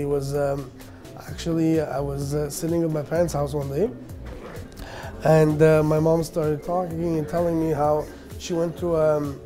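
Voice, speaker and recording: A man's voice: fairly high pitch, mellow. A man in his thirties, born in Russia and raised in Canada, answering interview questions in a casual, hesitant way with many fillers and restarts. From Armenian, born in Russia, raised in Canada. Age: 30s. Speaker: business owner.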